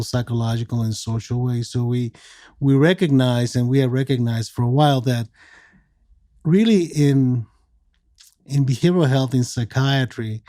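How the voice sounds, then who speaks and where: Older man, sixties, from Mexico; unhurried explainer with a mellow voice in a dry room. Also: medium pitch, dry recording. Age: 60s. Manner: measured, explanatory, with many fillers. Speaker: psychiatrist guest. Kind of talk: conversational podcast interview.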